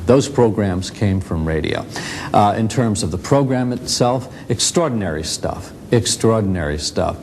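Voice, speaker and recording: An American man explaining things in an interview as a museum representative, emphatic and halting, repeating phrases for weight. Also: lively intonation, a little mellow. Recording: faint rumbling background noise.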